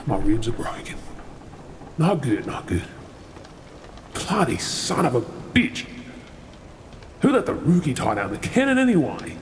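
Man acting a swaggering pirate captain, very expressive. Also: mid-range voice. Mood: pained, gruff. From Australia. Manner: commanding, swaggering, sardonic. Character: gruff, menacing male pirate captain, secretly soft-hearted. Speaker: ASMR role-play performer. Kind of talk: dramatic audio role play. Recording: sound effects and ambient noise behind. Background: ship ambience sound effects.

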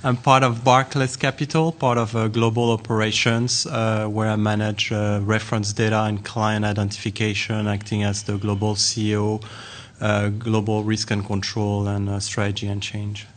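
A man's voice: on the low side, flat delivery, normal speed. A man from France introducing himself at a conference panel, speaking hesitantly with many fillers. He sits at a panel table in a hotel conference room. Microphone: table microphone.

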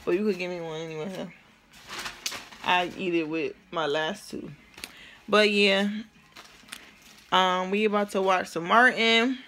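A woman's voice: on the low side, steady tone, unhurried, pauses often, fairly bright tone, slight background noise. A young woman in her twenties chatting casually to her phone camera on a night out, sometimes in a sing-song way. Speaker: vlogger. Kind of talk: casual vlog chat. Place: movie theater, pool hall, car, home. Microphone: phone held at arm's length.

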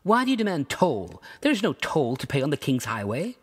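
A man voicing a bewildered, indignant lost male traveler in a storybook reading, with a high, strained, protesting voice. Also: wildly swooping pitch, fairly quick, slightly rough, in a large hall, heavily acted.

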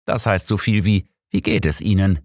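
A man's voice: deep voice, fairly even intonation, moderate pace, no room sound. A man voicing a sober, wry old detective in an audiobook, dry and matter-of-fact. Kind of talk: audiobook character acting.

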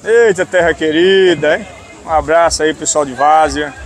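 High-pitched Northeastern Brazilian man, street reporter. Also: moderate pace. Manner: informal, chatty, asks short questions. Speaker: roving TV reporter. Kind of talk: casual street interviewing. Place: outdoor street market. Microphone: small handheld mic. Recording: busy outdoor market crowd noise.

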